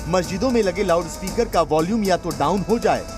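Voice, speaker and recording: A man's voice: high-pitched, quick pace. A man reading a scripted news report as voice-over, with an emphatic, dramatic delivery. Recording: voice-over with music bed.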